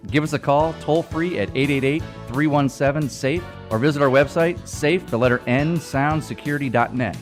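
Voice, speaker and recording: A man's voice: a little high, normal speed, few pauses. An American man reading a scripted local radio advertisement as the business's own spokesman. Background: guitar music.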